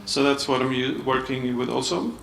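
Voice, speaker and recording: A man giving a conference panel talk, halting with restarts and many uhs. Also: mid-range voice, vocal fry, a bit bright. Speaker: panel speaker, architect. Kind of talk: conference presentation. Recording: echoey hall, mic off at a distance, faint room noise.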